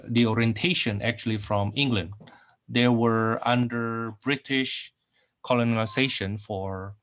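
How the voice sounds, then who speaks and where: A man's voice: medium pitch, a bit bright. A middle-aged man from Indonesia teaching a math class over a screen recording, often repeating phrases and saying okay. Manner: repeats phrases, many fillers and okays. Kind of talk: recorded online math lecture.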